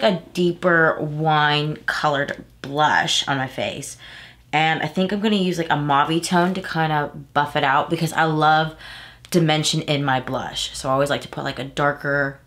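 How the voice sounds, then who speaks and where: A woman's voice: low voice, fairly bright tone. A woman in her thirties from Texas with a slightly nasal, stuffy voice, chatting casually through a makeup tutorial and correcting herself as she goes. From Houston, Texas. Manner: chatty, rambling, self-correcting. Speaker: beauty vlogger. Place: small room at home, indoors.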